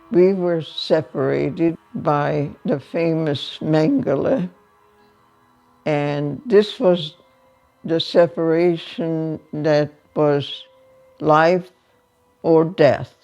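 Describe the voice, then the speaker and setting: A woman, giving slow, measured spoken testimony with long pauses. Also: low voice, creaky, darkish tone. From Hungary. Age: 90s. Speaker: Holocaust survivor giving testimony. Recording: dull lo-fi sound with faint music underneath.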